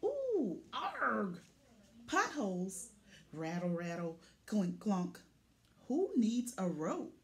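A woman's voice: mid-range voice, wildly swooping pitch, drawn-out words, full character voice. A woman voicing a boastful, plucky little pickup truck from a children's picture book, punchy and full of vocal sound effects. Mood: determined.

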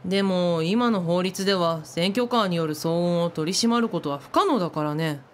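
A woman's voice: on the low side, normal speed, a little hiss or hum, natural voice. A woman playing an opinionated, blunt eighteen-year-old youngster in a radio drama. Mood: indignant. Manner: blunt, grumbling.

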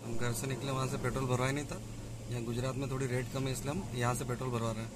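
A man's voice: medium pitch, little pitch movement, racing. A young man narrating a road trip from inside a car, talking to his viewers in a chatty travel-vlog way. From Rajasthan, India. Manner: chatty, addresses viewers directly. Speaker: vlogger. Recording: loud music bed behind.

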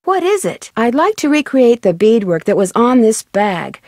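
A young American female detective in an adventure game. Her voice sits fairly high and is recorded dry. She is polite and friendly, enunciates clearly and keeps an inquisitive tone. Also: big pitch swings, moderate pace, darkish tone, no room sound. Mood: curious. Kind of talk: scripted adventure game dialogue.

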